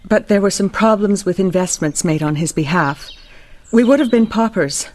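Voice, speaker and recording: A woman's voice: medium pitch, moderate pace, darkish tone, natural voice. A woman playing a genteel, sorrowful widow in a period radio drama, formal and restrained. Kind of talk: old-time radio drama acting.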